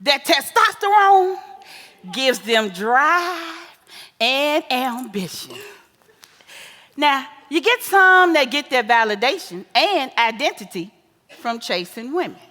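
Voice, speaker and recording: Actress as folksy American mama, echoey hall. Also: female voice, high voice, big pitch swings, sharp tone, full character voice. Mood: wise, earnest. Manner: folksy, drawn-out emphatic phrasing. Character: older mother, folksy, wise, teasing, doting and blunt. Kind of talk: stage play acting. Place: live stage in a reverberant hall.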